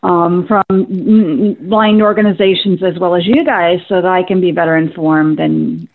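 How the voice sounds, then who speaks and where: Halting woman in her forties on a phone line. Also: on the low side, fairly even intonation. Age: 40s. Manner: halting, repeats herself with many fillers. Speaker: caller. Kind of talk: call-in show chat.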